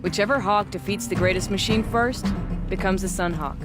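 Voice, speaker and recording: Female actor as hard-bitten hunter, proud, wry, blunt, middle pitch, video game cutscene dialogue. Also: brisk, loud music behind the voice, natural voice. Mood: wry, matter-of-fact.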